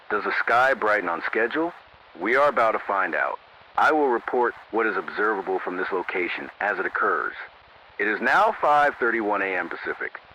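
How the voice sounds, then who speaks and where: A man playing a sober local AM radio announcer in an analog horror emergency broadcast, speaking in a grave, measured, formal way through a radio filter. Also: on the low side, bright, forceful tone. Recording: narrow-band AM radio with static noise.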